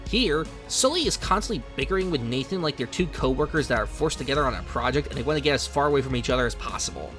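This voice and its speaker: Lively, higher-pitched man narrating a video essay. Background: music under the voice.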